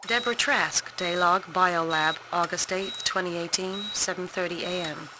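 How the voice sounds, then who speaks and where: An actress plays a clinical woman biologist with an eerie fatalism, dictating a lab log in a horror game. Her voice is fairly low and measured. The recording is clearly noisy. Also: fairly even intonation, close to an everyday voice.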